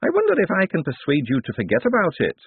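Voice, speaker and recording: A man's voice: on the high side, wildly swooping pitch, fast talker, slightly rough, no room sound, voice pushed up, exaggerated voice. A man voicing a fussy, weary elderly male wizard scholar who rambles and grumbles plaintively. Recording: tinny phone-like lo-fi.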